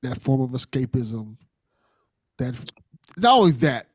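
A man's voice: mid-range voice, sing-song, measured pace, slightly rough, no room sound. A man hosting a podcast in casual conversation, earnest and appreciative, often repeating things for emphasis. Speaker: podcast host.

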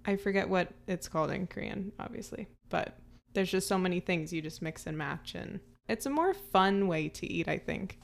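A woman's voice: on the low side, moderate pace, gravelly. A woman hosting a podcast, introducing her guest with enthusiasm and asking friendly questions. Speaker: podcast host. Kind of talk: podcast intro and interview chat.

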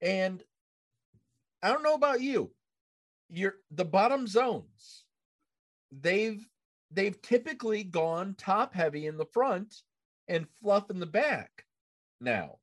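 A man's voice: sits high, big pitch swings, slow, long pauses. A man hosting a casual gaming podcast in a conversational style. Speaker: podcast host.

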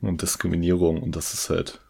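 A man with a very deep voice talks in a relaxed, agreeable way about a film. His voice has a gravelly rumble. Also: fairly quick. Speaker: podcast co-host. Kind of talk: casual film discussion.

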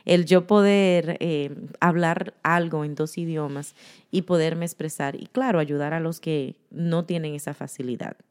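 Woman in her thirties, candid and chatty, low voice, slips into English, casual conversation. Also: steady tone, measured pace, a little mellow, clean tone. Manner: candid, laughs often, mixes in English words.

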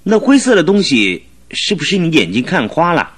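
A man in a Chinese radio drama playing a sceptical prosecutor with a husky voice and pointed, methodical questioning. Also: fairly high pitch, very animated, normal speed, a bit bright, full character voice. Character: male prosecutor, probing, sceptical interrogator.

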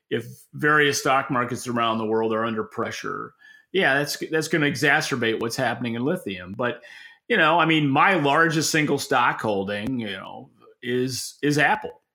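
A man's voice: medium pitch, bright, forceful tone. A middle-aged American man co-hosting a podcast, speaking casually and off the cuff in a rambling, stop-start, plain-spoken way. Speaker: podcast co-host. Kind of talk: casual unscripted market talk.

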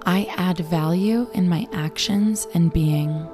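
Raspy low-voiced woman reading affirmations. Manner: slow, soothing affirmation reading. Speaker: affirmation and meditation host. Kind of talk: guided affirmations. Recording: clear music bed behind voice.

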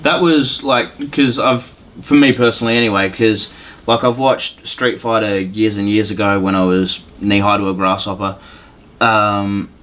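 A man's voice: lowish voice, fairly even intonation, moderate pace, fairly bright tone. A man chatting casually as a podcast co-host, informal, slangy and opinionated.

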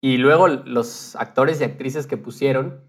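A man's voice: medium pitch, unhurried. A man explaining things informally as a podcast guest, adding little tag questions. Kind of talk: casual explanatory chat.

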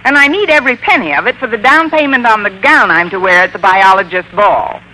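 An American actress playing a wry, long-suffering schoolteacher with dry, sardonic delivery in an old-time radio comedy. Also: female voice, medium pitch, fairly quick, pushed and bright, natural voice, low-quality recording. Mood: flustered, pleading. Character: wry, wisecracking adult woman schoolteacher, lovelorn and long-suffering. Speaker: lead actress and narrator. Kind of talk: 1940s-50s radio comedy acting. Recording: vintage radio recording with faint noise.

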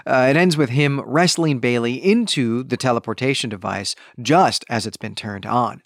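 A man's voice: medium pitch, normal speed, dry recording, studio quality. A man hosting a podcast, explaining a book in a conversational way and checking in with the listener as he goes. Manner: conversational explaining, tags points with "right?". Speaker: podcast host. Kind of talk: book discussion podcast, explanatory plot summary.

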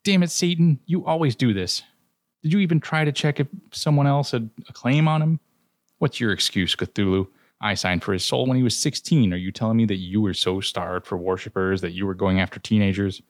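A man's voice: fairly low pitch, brisk, no echo, clean studio sound, voice pushed up, close to an everyday voice. A man voicing a peevish, foul-mouthed male trickster god who snaps at everyone. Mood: irritated. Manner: exasperated, snapping.

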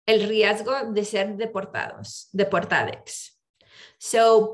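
A woman attorney giving an online seminar, switching back and forth between English and Spanish with frequent ums. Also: fairly high pitch. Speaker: immigration attorney and presenter. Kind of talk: bilingual online seminar presentation. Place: home video call. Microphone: video call microphone.

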